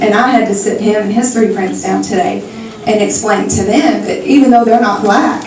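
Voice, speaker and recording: A woman's voice: a little high, a little mellow. Exasperated middle aged lady talking off the cuff at a town hall, really echoey, mic far away. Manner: halting, exasperated. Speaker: audience member speaking at a public meeting. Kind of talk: personal, unscripted public remarks. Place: meeting hall, public town-hall event. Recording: very echoey hall, distant mic, faint noise.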